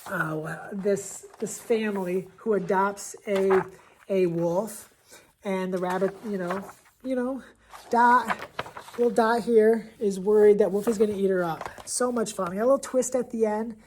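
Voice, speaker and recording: A man's voice: high-pitched, fairly even intonation, measured pace, background noise. Enthusiastic American man talking books. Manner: enthusiastic, gushing, emphatic. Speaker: elementary school teacher and book reviewer. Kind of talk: casual book-recommendation vlog.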